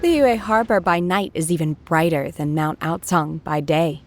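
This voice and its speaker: An actress playing a gentle, reserved young woman unused to company in an anime game, soft and hesitant. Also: deep voice, measured pace, music in the background. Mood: wistful.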